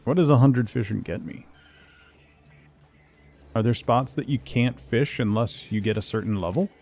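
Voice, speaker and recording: A man's voice: mid-range voice, normal speed, vocal fry, a little mellow. A man doing casual solo commentary on a gaming stream, muttering to himself with playful asides. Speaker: game streamer.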